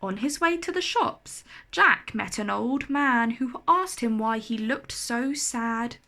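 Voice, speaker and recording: A woman reading a children's storybook aloud in an expressive, animated, carefully enunciated way. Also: on the high side, slow.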